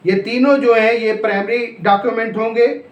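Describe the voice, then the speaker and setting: A man's voice: very high voice, fairly even intonation, normal speed. A man with a Pakistani accent giving a law lecture, explaining step by step, repeating points, asking and answering his own questions and mixing in English legal terms. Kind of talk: classroom lecture, explaining and dictating notes. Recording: echoey, roomy sound.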